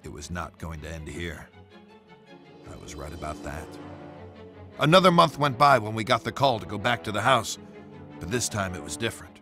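Bright-edged, weary American detective. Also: male voice, lowish voice, big pitch swings, moderate pace, pauses often, faint music behind, full character voice. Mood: brooding. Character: weary, dogged male police detective investigating strange deaths. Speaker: detective character and narrator. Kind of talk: noir game voice acting and scripted narration.

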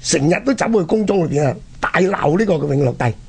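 A man with a Hong Kong Cantonese accent and a husky, gravelly voice, telling history conversationally and dramatically as a radio presenter. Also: on the high side, expressive, fast talker, a little mellow. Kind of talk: animated conversational history storytelling.

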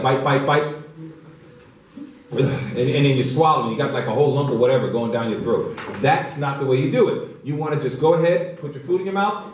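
A man's voice: mid-range voice, a little hiss or hum. Middle aged guy teaching health in a really echoey hall, mic far off, emphatic, repeats himself. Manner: emphatic, instructive, repeats key phrases. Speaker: health lecturer at a church. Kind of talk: public health lecture with rhetorical questions. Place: indoor church hall, speaking to an audience. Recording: very echoey hall, picked up from afar.

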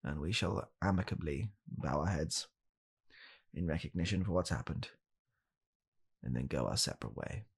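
A young British man in a hushed, halting, deadpan comedic ASMR roleplay, playing a bitter, weary father coldly blaming his child. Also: extremely low pitch, husky. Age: young adult. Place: small home room, indoors.

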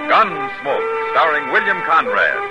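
A man's voice: on the high side, wildly swooping pitch, unhurried, muffled recording. A man working as a radio announcer reads a script in a dramatic, emphatic 1950s style. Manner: punchy, emphatic. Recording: vintage radio broadcast with loud music. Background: loud music.

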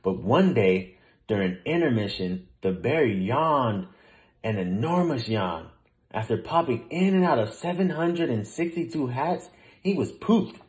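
A man narrates a children's picture book aloud. His pitch is middling for a man and rises and falls in an animated way. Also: unhurried, rough recording. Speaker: storybook reader. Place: small room at home, indoors.

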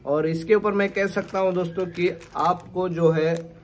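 A man in his thirties runs a fishing tackle shop and talks casually to a camera set a few feet away on a covered porch. His voice sits a little high and he takes his time, slipping English phrases into the chat. A faint hum of outdoor ambience stays behind him. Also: fairly even intonation, measured pace. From Maharashtra, India. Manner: chatty, keeps calling viewers "friends", mixes English phrases in. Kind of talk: casual product talk to camera. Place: covered porch of a house, daytime.

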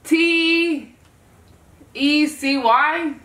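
A woman's voice: high voice, very slow, a bit bright. A woman from the Dominican Republic spelling words among friends, joking and brash, swearing when she gets stuck. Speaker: spelling challenge contestant. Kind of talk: casual chat among friends. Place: small room with a couch. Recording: roomy echo, distant mic, faint noise.

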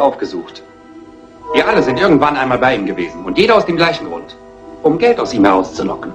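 Greedy male heir played by an actor: mid-pitched, menacing, sardonic, deliberate. Crime-film dub with clear music underneath. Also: muffled recording, lightly acted.

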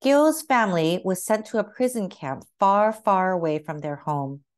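A woman reading a children's picture book aloud as narrator, with expressive, carefully phrased storytelling. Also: mid-range voice, moderate pace.

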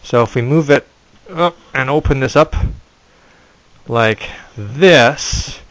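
Middle-aged man, rambling home-tour narration, webcam audio, mid-pitched. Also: takes it slowly, fairly bright tone, low-quality recording. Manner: chatty, rambling, points things out. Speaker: vlogger giving a house tour. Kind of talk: casual handheld house tour narration. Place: indoors in a house, moving between rooms. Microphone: handheld webcam.

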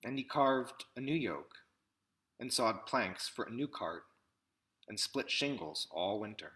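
A man in his thirties reads a storybook aloud in measured short phrases with pauses, recorded indoors at arm's length from the mic. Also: medium pitch, expressive, sharp tone. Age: 30s. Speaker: picture book reader. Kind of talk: read-aloud storybook narration. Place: small indoor room with classroom wall displays.

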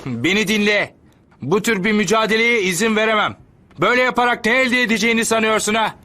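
An actor dubbing a righteous, defiant teenage boy hero in an anime cartoon, indignant and forceful. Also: high voice, quick pace. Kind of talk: dubbed anime voice acting.

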